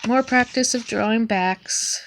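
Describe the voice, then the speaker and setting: A woman's voice: fairly high pitch, measured pace, noisy background. A young woman with a sniffly cold, showing her sketchbook in a hesitant, casual way with frequent ums. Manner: hesitant, with many ums and restarts.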